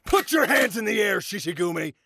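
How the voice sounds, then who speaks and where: Guy doing angry cop barking orders, high gravelly voice, swings all over, anime dub. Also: very animated, fairly quick, music in the background, full character voice. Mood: furious, commanding. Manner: shouting, commanding. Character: hot-headed police officer barking orders. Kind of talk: dramatic fan dub.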